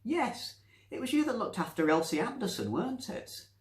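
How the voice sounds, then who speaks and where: A man's voice: high voice, sing-song, moderate pace, reverberant, pitched above the performer's own voice. A man voicing a feisty, chatty elderly widow with a Northern English accent, blunt and teasing, in a story reading. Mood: delighted, confident. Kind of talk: story reading with character voices.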